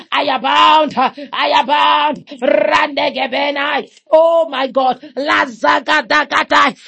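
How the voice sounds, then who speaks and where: A woman leading a fervent prayer, shouting repeated declarations and speaking in tongues. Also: high-pitched, vocal fry. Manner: chanting, repetitive, declaring. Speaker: prayer leader.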